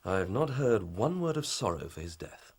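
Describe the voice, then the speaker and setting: An actor playing an earnest, ambitious young police inspector, formal and methodical. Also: male voice, lowish voice, big pitch swings, moderate pace, slightly rough, full character voice. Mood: reporting. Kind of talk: radio drama acting.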